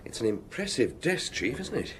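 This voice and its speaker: A man's voice: mid-range voice, very animated, normal speed, a little hiss or hum, close to an everyday voice. An actor playing a deferential junior male police inspector in a detective audio drama, polite and tentative. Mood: curious.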